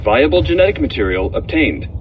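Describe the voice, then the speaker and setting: A man's voice: fairly high pitch, very animated, a little fast, noisy background. A man voicing an impersonal computer in a video game, giving clipped, procedural status announcements through a dull, distant speaker.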